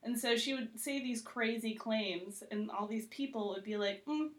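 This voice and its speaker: A woman's voice: fairly high pitch, moderate pace. A woman chatting casually as a podcast guest, conversational and giggly, with "like" sprinkled through her sentences. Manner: conversational, heavy use of "like", giggly. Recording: roomy, echoey sound.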